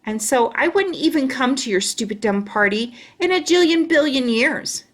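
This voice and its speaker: A woman's voice: high-pitched, animated, moderate pace, voice pushed up. A woman voicing a chatty, boastful, stubborn young schoolgirl in a children's storybook, with emphatic childlike wording. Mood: scornful. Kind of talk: children's storybook read-aloud.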